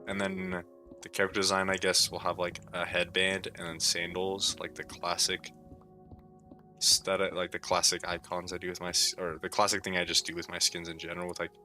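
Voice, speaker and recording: A tired young man with a sore throat, rambling laid-back and low on a chill stream, with plenty of "like" fillers. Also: monotone, fairly quick, sharp tone, soft background music. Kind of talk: casual rambling stream talk.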